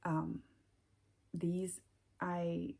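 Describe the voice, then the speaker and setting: Icelandic woman, American English accent, slow and unhurried, mellow husky tone, hesitant casual host. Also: on the low side, level tone. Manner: hesitant, many ums and restarts, soft-spoken. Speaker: knitting podcast host. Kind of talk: casual chat to camera.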